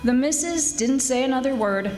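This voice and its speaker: A woman playing a brash, wisecracking young private detective in a 1940s-style radio drama, sassy and quick-witted. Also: sits high, expressive, loud music behind the voice. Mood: wry narrating. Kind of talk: 1940s radio detective acting, dialogue and narration. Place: live stage performance of a radio play.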